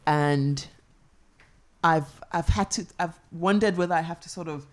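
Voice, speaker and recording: A woman with a notably low voice leads an onstage talk with another writer. She thinks out loud, circling her questions and reworking them as she goes. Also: a little slow. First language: English. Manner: searching and thoughtful, asks long circling questions. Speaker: writer interviewing a fellow author. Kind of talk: live festival conversation.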